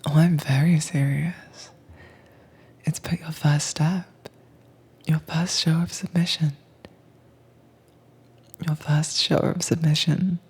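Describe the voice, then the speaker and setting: A woman playing a calm, teasingly dominant werewolf tamer in a whispered, soft-spoken roleplay audio, with a breathy voice and a coaxing, commanding manner. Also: low-pitched, takes it slowly, pauses often, full character voice. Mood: gently commanding.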